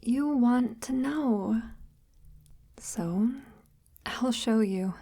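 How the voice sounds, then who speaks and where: Woman doing a creepy calm forest witch for asmr roleplay, super slow and breathy, highish soft voice, formal and kinda patronizing, right up on the mic. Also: dry recording, exaggerated voice. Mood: reverent, coaxing. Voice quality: soft, breathy, half-whispered. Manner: formal, measured, deliberate, gently patronizing. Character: sinister forest witch, calmly menacing, devout, condescendingly tender. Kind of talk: ASMR audio roleplay, slow intimate monologue.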